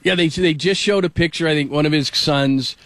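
A middle-aged man hosting a radio show, telling a story in a rambling, animated way with lots of restarts. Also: fairly high pitch, a little fast, dry recording. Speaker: radio host. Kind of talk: casual talk radio chat.

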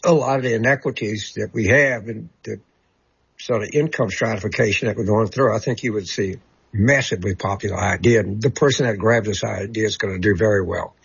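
A man working as a political commentator, answering callers on a talk show in a halting way and often repeating words as he searches for them. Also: fairly low pitch, gravelly, slightly rough, muffled recording. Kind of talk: call-in talk show guest.

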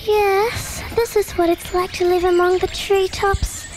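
A woman voicing a tiny, sad possum in a storybook reading, speaking quietly and pleadingly. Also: very high-pitched, flat delivery, measured pace, music in the background, voice pushed up, rough recording.

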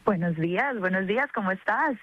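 A woman's voice: medium pitch, lively intonation, fairly quick, a bit bright, dry recording, highs cut off. A woman, raised partly in Canada, chatting cheerfully as an interview guest. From born in Cali, Colombia, grew up in Canada. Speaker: sports TV presenter, interview guest. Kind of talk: conversational radio interview.

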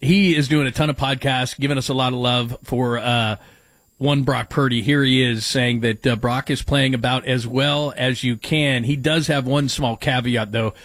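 A man's voice: mid-range voice, fairly even intonation, moderate pace, fairly bright tone, no echo. A man hosting a sports radio show, talking casually and rambling, joking with his co-host and laughing at his own bits.

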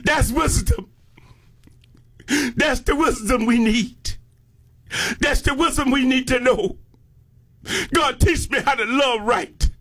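A man's voice: very high voice, slow, long pauses, a bit bright. A man with an American accent preaching a fervent sermon, often breaking off to exclaim praise in an impassioned way. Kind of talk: fervent sermon with call and response.

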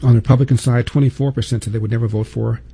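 A man's voice: mid-range voice, fairly even intonation, racing, gravelly, mellow, husky. A man hosting a talk show, delivering an emphatic opinion monologue full of repeated points and rhetorical questions.

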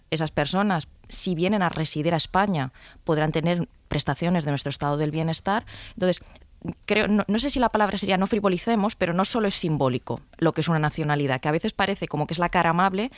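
A woman's voice: lowish voice, dry recording. A woman, arguing a political point as a commentator on a radio panel. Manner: fast, forceful argumentation. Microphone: studio microphone.

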